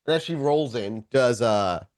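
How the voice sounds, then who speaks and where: A man acting as game master, narrating an improvised tabletop story in a casual, descriptive way with animated asides. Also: medium pitch, unhurried, no room sound, studio quality.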